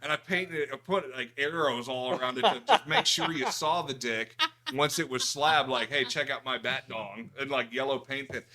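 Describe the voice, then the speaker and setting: Bright-voiced man bantering crudely. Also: mid-range voice, normal speed, few pauses. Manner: crude, profane, joking storytelling. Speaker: podcast host. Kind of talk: casual bawdy podcast banter.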